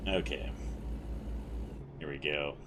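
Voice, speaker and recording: A man calmly talking through a driving game as a streamer, with a mellow, fairly monotone voice and a rambling, self-correcting way of speaking. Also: a bass voice, slow pace, background noise. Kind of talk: casual gameplay commentary.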